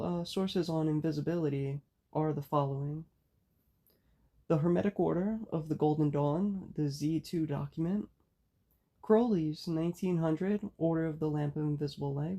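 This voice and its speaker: A woman with a raspy, hoarse voice from a cold, giving a measured spoken lecture as host of her own channel. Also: deep voice, dark tone. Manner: measured, explanatory delivery.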